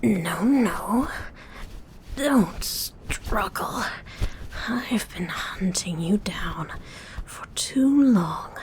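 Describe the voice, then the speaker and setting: A woman's voice: mid-range voice, animated, very slow, pauses often, slight background noise. A woman playing a seductive predatory vampire in a whispered ASMR roleplay, speaking formally and breathily with teasing menace. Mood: menacing, predatory. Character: seductive female vampire predator stalking and feeding on prey.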